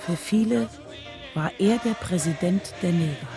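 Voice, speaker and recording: Radio drama: earnest activist's wife narrating her memories, measured and solemn, faint music behind. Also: on the low side.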